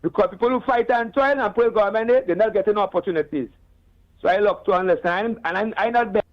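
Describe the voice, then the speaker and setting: A Trinidadian man calling in to a radio show, halting and aggrieved, often repeating words. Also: high-pitched. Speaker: caller. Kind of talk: call-in complaint. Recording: dull phone line.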